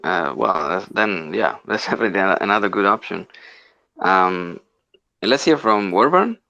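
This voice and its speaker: Low-voiced man hosting an online chat. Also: lively intonation, normal speed, gravelly, bright, forceful tone, dry recording, muffled recording. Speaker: host moderating an online audio discussion. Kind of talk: casual unscripted group discussion. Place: live online audio chat room.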